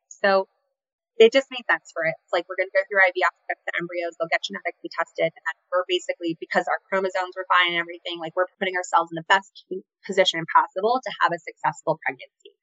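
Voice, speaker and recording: A woman's voice: on the low side, sharp tone, no echo, lo-fi sound. A woman in her late thirties telling a personal story in a candid, chatty way, with many "like" fillers. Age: 30s. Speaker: podcast guest.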